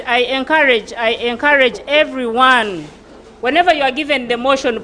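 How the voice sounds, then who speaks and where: High-voiced woman teacher encouraging pupils. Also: a bit bright, a little hiss or hum. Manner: encouraging, asks pupils for replies. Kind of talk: addressing pupils at a school debate. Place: school assembly.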